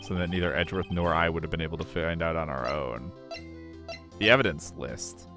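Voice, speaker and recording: A man's voice: sits low, brisk, over music, natural voice. A man voicing a supportive, slightly flustered defence lawyer in a fan dub of a video game. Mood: earnest. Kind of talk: fan voice acting of a visual novel game.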